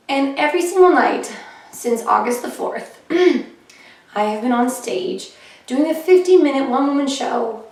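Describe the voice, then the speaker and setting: A woman comedian chatting casually in a vlog about her shows, her voice a little hoarse and often clearing her throat. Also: high-pitched. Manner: chatty and upbeat, with fillers. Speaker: comedian vlogging her diary. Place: living room of a flat, indoors. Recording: echoey room, heard from a distance.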